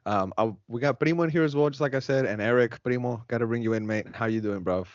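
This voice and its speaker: A man's voice: medium pitch, fast, dry recording. A man hosting a podcast with an upbeat, loose, slangy delivery. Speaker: podcast host. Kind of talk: casual chat. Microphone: video call. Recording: dull lo-fi sound.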